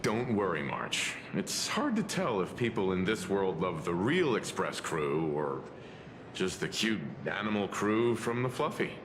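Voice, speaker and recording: A calm, dryly reassuring anime game companion, speaks slowly in a lowish voice. There is long reverb and clear ambient noise behind him. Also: male voice. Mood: wry, reassuring. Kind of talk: anime-style game voice acting.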